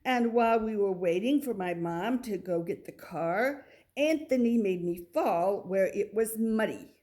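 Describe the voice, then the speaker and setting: A woman reading a children's picture book aloud, with expressive delivery and little asides to the listener. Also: medium pitch, unhurried. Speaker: storybook reader.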